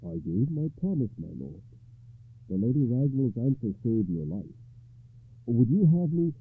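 Storybook knight acted out by a male narrator: loyal, courtly, earnest, husky and lively in pitch. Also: a little slow, full character voice. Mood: steadfast. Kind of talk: storytelling character voice. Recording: muffled phone-like narrow-band sound.

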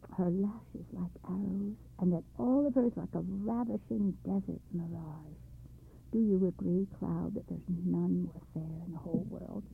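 A woman's voice: mid-range voice, gravelly, a little hiss or hum. A woman voicing an old father who formally praises his daughter to a suitor. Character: old father, respectful and hopeful suitor-seeker. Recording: tinny phone-like recording.

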